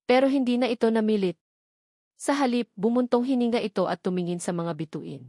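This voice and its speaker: A woman reading a romance story aloud in a steady, emotive, unhurried narration style. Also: fairly high pitch, no room sound, studio quality. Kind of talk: dramatic scripted story narration.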